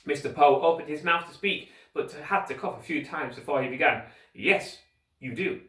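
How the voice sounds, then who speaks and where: A man reading a children's story aloud like a teacher, with expressive, deliberate scripted narration. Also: fairly high pitch. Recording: roomy echo, distant microphone.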